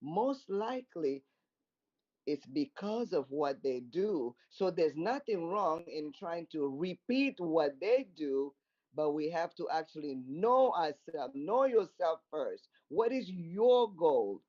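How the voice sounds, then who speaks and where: A man with a high, mellow voice makes his points earnestly and says them twice for weight. The sound is muffled and lo-fi, like audio from an online call. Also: big pitch swings, takes it slowly. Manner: earnest, repeats points for emphasis. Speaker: discussion panelist. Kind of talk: casual panel discussion.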